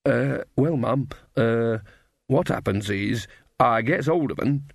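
A man voicing a huge, gentle village blacksmith, slow and hesitant, with broad rustic dialect. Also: mid-range voice, vocal fry, dry recording, clean studio sound. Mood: shy.

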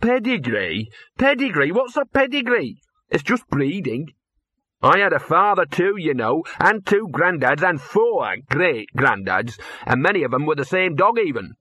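A man's voice: on the high side, very animated, unhurried, bright, forceful tone, no echo, voice pushed up, heavily acted. Man as a sneering, scruffy little talking mongrel. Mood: jealous, indignant. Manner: withering, sneering, muttering out of the corner of the mouth. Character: scruffy, cynical, sarcastic small male talking mongrel.

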